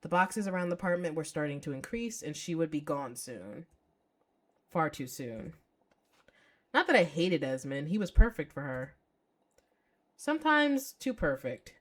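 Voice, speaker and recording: A woman's voice: low-pitched, expressive, normal speed. A woman voicing a bitter, lovelorn young man who broods and narrates his own visual novel story. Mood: wistful, brooding.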